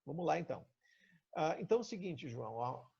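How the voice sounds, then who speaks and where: Gravelly, mellow Brazilian man coaching. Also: fairly high pitch, very animated, quick pace. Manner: explanatory, asking probing questions. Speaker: coach and trainer leading a live session. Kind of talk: conversational coaching on a live stream. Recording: dull lo-fi online call audio.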